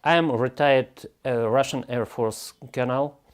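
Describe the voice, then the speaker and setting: An older man from western Ukraine, a retired air force colonel answering interview questions in halting English with many pauses. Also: mid-range voice, steady tone.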